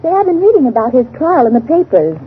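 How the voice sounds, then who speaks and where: An actress playing a curious, spirited society woman in a 1930s radio drama, speaking in an inquisitive, animated way. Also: high-pitched, fast talker. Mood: curious, surprised. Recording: noisy, narrow-band 1930s radio broadcast.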